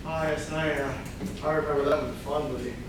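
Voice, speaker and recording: A man playing a bumbling guest in a comedy murder-mystery stage reading, halting and hemming. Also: on the high side, steady tone, natural voice. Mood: flustered. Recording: very echoey room, distant mic, noise.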